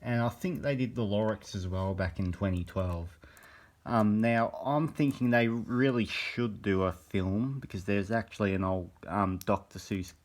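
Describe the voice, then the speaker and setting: A young man with a very deep voice, made croaky by a cold. He rambles along in a casual phone-camera vlog, pausing often to find his words. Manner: hesitant, meandering, many pauses. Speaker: vlogger. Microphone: handheld phone camera.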